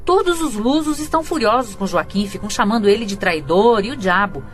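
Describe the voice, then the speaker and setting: A woman's voice: mid-range voice, lively intonation, a little fast, soft background music, natural voice. A woman with a Brazilian accent playing a worried, scolding older mother-in-law in a radio drama, agitated and gossipy. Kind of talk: Brazilian radio soap acting.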